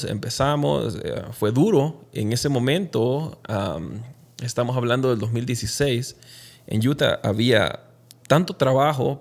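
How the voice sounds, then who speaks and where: A man from Honduras, speaking reflectively and haltingly as an interview guest telling his own story. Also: mid-range voice, vocal fry. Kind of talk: conversational personal storytelling in an interview.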